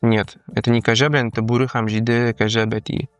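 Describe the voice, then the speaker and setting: A man's voice: mid-range voice, level tone, fast, clean tone, no echo, faint music behind. A man co-hosting in casual chat, quizzing his partner in a teasing, colloquial way. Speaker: co-host and quizmaster of a Tatar language-learning show. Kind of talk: casual chat, giving quiz hints.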